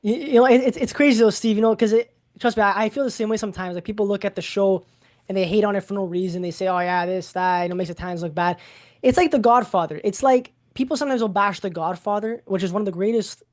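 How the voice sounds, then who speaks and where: A woman's voice: medium pitch, fast talker, a little mellow. A woman co-hosting an interview, talking eagerly in a rambling way with many restarts. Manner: eager, rambling, repeats words. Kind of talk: casual interview chat.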